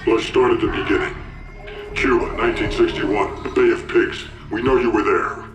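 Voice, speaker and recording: An actor playing a stern, relentless interrogator in a video game cutscene, cold, demanding and insistent. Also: male voice, on the low side, fairly even intonation, moderate pace. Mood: cold, probing. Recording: echoey, under dramatic music.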